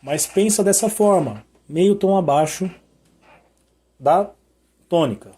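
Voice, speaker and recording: A hoarse man teaching musical intervals, singing note names to demonstrate them and checking in often with short questions. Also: high voice, lively intonation, slow pace, mellow. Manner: sings interval notes to show them. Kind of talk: sung and spoken music lesson.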